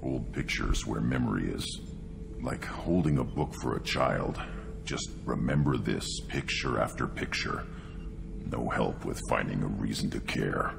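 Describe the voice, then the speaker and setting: A hulking alien brute from a video game, with a cavernously low voice thick with guttural gravel. He speaks slowly in blunt, brooding fragments, full of scorn for weakness, over clear background music. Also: heavily acted. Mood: gruff, brooding. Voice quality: guttural, growling. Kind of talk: video game dialogue acting.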